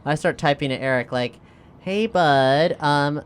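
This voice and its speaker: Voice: medium pitch, measured pace, slight background noise. A tabletop RPG player chatting casually and jokily, improvising and at times speaking in character.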